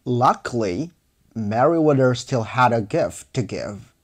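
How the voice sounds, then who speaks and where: A man's voice: mid-range voice, animated, a little slow. A man narrating a scripted fairy tale for children as a storyteller.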